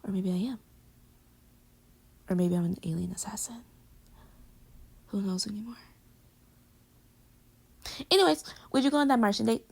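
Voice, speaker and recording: A woman playing an affectionate, teasing girlfriend with a hidden insecurity, in intimate pillow-talk roleplay audio, often slipping into breathy whispers. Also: mid-range voice, animated, normal speed, pauses often, a little mellow. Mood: whispered teasing.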